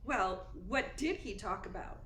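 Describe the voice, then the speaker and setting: A woman's voice: mid-range voice, lively intonation, measured pace, a bit bright. A woman playing a demure, anxious, scheming femme fatale in a radio play, with a theatrical, hesitant, pleading manner. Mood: coy. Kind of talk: radio play acting in 1940s noir manner. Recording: roomy, echoey sound with faint noise.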